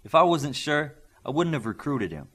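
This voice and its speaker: A man voicing an assured, measured veteran combat instructor in an audiobook. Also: fairly high pitch, big pitch swings, a bit bright, pitched above the performer's own voice.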